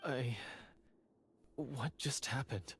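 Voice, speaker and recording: A man voicing an agitated, bitter young man in a video game, guilt-ridden and dramatic, speaking in a halting, stammering way. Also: mid-range voice, fairly bright tone, slight background noise. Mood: dazed. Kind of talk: English video game voice acting.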